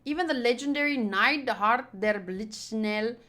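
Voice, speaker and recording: Bright-voiced woman streaming a visual novel. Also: fairly high pitch, unhurried. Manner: chatty, addresses viewers, comments between readings. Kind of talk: live playthrough commentary, reading game text aloud. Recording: game music behind the voice.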